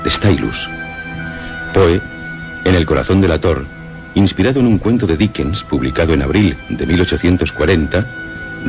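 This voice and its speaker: A deep-voiced man presents a radio programme. He reads scripted literary narration over clearly audible music. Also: darkish tone.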